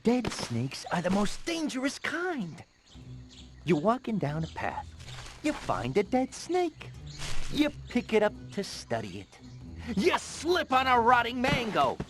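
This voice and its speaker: An actor playing a boastful talking jaguar in a video game, with a grandiose, storytelling manner. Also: male voice, very high voice, wildly swooping pitch, slow, fairly bright tone, exaggerated voice. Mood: dramatic, ominous. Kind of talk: animated video game voice acting.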